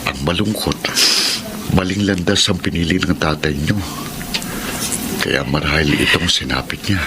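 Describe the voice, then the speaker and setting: An actor playing a gentle, devout, sympathetic male friend in a Filipino radio drama, solemn and consoling. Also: a bass voice, moderate pace, background noise. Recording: radio drama with music behind.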